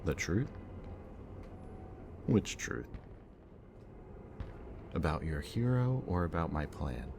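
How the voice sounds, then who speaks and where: A man acting a brooding villain kidnapper in an audio roleplay, mockingly polite and weary, speaking in a deliberate, theatrical way. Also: very deep voice, sing-song, creaky, noisy background, heavily acted. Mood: tense, weary.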